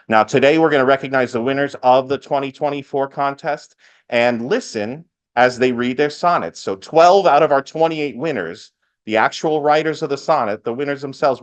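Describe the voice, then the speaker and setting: A man speaking as host of a live event, giving a part-scripted welcome speech with lightly joking asides. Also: medium pitch. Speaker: event host, master of ceremonies.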